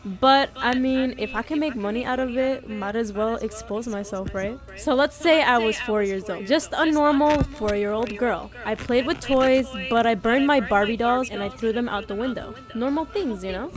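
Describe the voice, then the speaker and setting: A young woman telling a comedic story from her childhood in a crude, joking, animated way. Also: on the high side, normal speed, hardly any pauses. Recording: background music.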